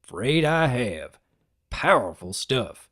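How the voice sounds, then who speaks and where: Casting: bashful farm stallion, low, slow and halting, read by a woman. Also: big pitch swings, fairly bright tone, full character voice. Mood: hesitant. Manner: hesitant, folksy, halting. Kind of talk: dramatic audiobook reading.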